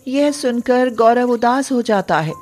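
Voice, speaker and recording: Slow-paced woman narrating a story. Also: on the high side, darkish tone, soft background music.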